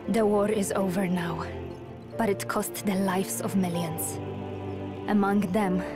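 A woman's voice: mid-range voice, steady tone, a little slow, lightly acted. A woman playing a spirited, stubborn young sharpshooter in a video game, earnest and determined. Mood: somber, grieving. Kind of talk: cinematic video game acting. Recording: music under the voice.

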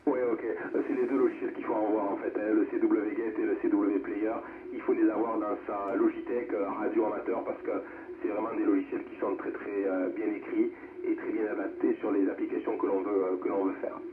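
A man's voice: on the low side, flat delivery, brisk, crowd noise. A man hosts an amateur radio net over a very narrow, echoing channel. He is courteous and casual, and often repeats words while searching for the next one. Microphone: heard through a radio receiver speaker. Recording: narrowband radio with noise and reverberation.

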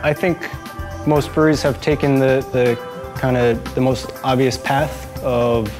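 A man in his thirties at a brewery, answering interview questions earnestly and haltingly, often repeating words. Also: medium pitch, fairly even intonation, over music. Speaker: brewery manager. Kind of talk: interview and plant tour.

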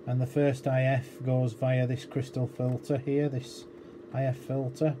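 A man's voice: medium pitch, level tone, measured pace. A man giving an informal technical walkthrough as a repair demonstrator, explaining hesitantly and thinking aloud. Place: indoor electronics workbench. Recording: clearly noisy workshop room recording.

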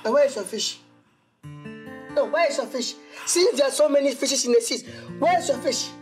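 A male actor's young Nigerian man laments in a high, whiny voice. He is theatrical and full of self-pity, as in a comedy skit, with guitar music clearly behind him. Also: moderate pace. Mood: mocking, annoyed. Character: self-pitying, dramatic young man lamenting lost love.

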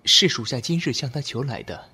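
An actor playing a reserved, melancholy, deferential general of ancient China in a period audio drama. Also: male voice, medium pitch, very animated, a little fast, bright, forceful tone, husky, full character voice. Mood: sorrowful. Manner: hesitant, deferential. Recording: long reverb, music under dialogue.

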